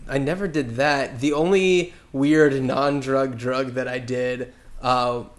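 A man co-hosting a casual podcast from home, chatty and quick to laugh, rambling a little as he talks. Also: medium pitch, fairly even intonation. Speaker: podcast co-host. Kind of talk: casual chat.

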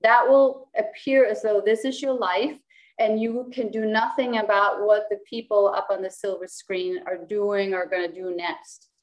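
A woman whose first language is Danish, giving a calm, reflective spiritual teaching talk as a yoga teacher. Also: on the high side, rough recording. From Denmark. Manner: calm, reflective, thoughtful pauses. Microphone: handheld prop shown to camera.

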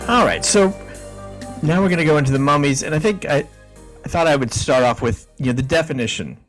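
A man co-hosting a podcast in a relaxed, chatty way, reading definitions aloud and chuckling now and then. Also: fairly high pitch, a little fast, soft background music. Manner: easygoing, chuckling, frequent restarts. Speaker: podcast co-host.

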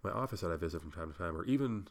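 A man's voice: low-pitched, animated, rapid-fire. A young man hosting a solo podcast, rambling casually and swearing, sounding a little congested. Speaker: podcast host.

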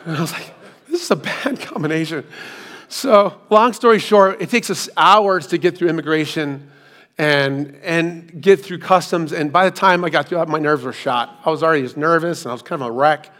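Hoarse middle-aged man preaching. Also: fairly high pitch, normal speed, fairly bright tone. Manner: self-deprecating storytelling with asides. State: hoarse, recovering from lost voice. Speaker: pastor. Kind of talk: sermon. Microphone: hands-free microphone.

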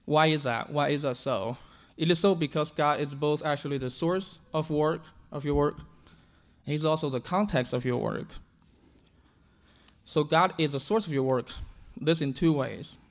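A man's voice: fairly high pitch, normal speed. Guy from china giving a sermon, english not his first language, earnest, keeps restarting words. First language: Chinese. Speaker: pastor.

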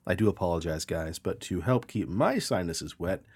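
A man in his thirties co-hosting a podcast, a little nasal from a sinus infection, telling animated stories with swearing and laughter. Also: low voice, normal speed, breathy edge. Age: 30s. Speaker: podcast co-host. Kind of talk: casual chat.

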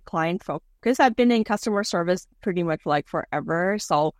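Low-voiced woman in casual interview. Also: animated, normal speed, no echo, clean studio sound. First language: Cantonese. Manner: chatty, frequent "like" and "you know". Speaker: interviewee, mortgage broker.

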